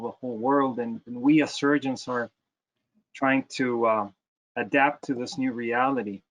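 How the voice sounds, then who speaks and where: A man's voice: medium pitch, a bit bright, rough recording. A man from Chile, giving a lecture over a video call, hesitant with many fillers and repeated words. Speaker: guest lecturer, plastic surgeon.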